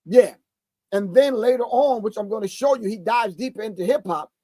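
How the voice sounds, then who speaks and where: A man in his sixties hosts the show, pausing a video to comment. His voice sits high for a man, and he preaches with emphasis, repeating phrases for effect. The sound is close and dry, with no room around it. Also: a little mellow, no echo. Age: 60s. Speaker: host. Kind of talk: live commentary reacting to a video.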